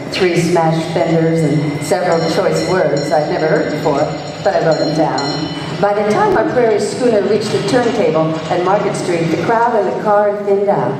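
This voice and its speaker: A woman's voice: on the low side, natural voice. Woman acting a wry female private eye, distant and echoey. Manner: wry, flirtatious, deadpan quips. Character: wisecracking female private eye, former model, breezy and self-mocking. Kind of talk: old-time radio detective play narration. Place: live stage radio play in a large hall. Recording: echoey hall from far off, noisy.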